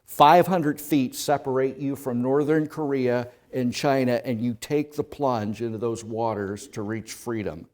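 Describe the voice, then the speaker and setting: A male pastor preaching a sermon, telling a story in the second person and swelling from hushed passages to loud ones. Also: medium pitch, unhurried, hardly any pauses.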